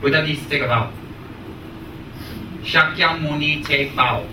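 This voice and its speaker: Male dharma teacher, American accent, emphatic phrasing, echoey room with clear background noise. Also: on the high side, distant mic. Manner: emphatic, deliberate, short repeated phrases. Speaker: Buddhist teacher. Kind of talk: spoken teaching with questions to students.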